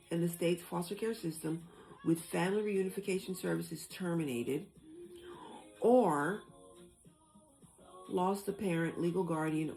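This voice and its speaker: A woman's voice: fairly low pitch, darkish tone, rough recording. A woman hosting a casual discussion, reading out information and thinking aloud as she explains it. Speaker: host.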